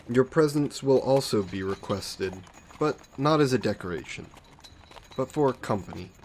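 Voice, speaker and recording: A man acts out a lordly male vampire with a mid-pitched voice, addressing a listener in a fantasy audio drama. He speaks very slowly, with suave condescension and soft, possessive coaxing. Background noise runs behind the voice. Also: lightly acted. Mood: commanding, suave. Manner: unhurried, smug, genteel, softly coaxing. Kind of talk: scripted roleplay audio drama monologue.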